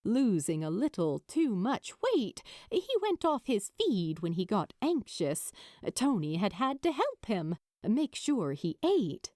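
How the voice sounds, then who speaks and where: A woman reading fiction as an audiobook narrator, in an expressive storytelling style. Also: mid-range voice, slow pace, no echo, clean studio sound.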